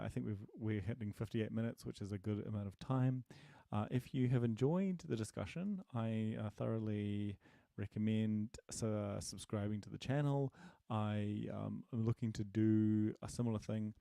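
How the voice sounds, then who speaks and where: A man's voice: fairly low pitch, normal speed, creaky, warm tone, no room sound, clean studio sound. A man teaching programming on a casual live stream, answering questions and thinking aloud with many fillers and restarts, friendly and self-deprecating. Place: home desk, dim room.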